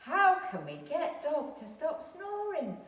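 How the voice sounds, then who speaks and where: Woman putting on animated storybook farm animals, roomy sound. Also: high voice, slow, voice pushed up, heavily acted. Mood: pleading, suggesting. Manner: exaggerated, playful animal voices and noises. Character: sleepless farm animals in a children's storybook, eager problem-solvers. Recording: roomy, distant microphone.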